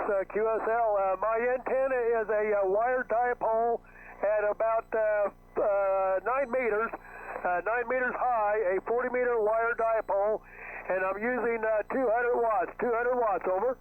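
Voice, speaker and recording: High-pitched man on thin ham radio. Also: steady tone, normal speed. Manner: procedural, repeats key phrases. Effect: shortwave radio voice. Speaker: amateur radio operator. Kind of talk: ham radio contact exchange. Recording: thin narrowband radio, faint static.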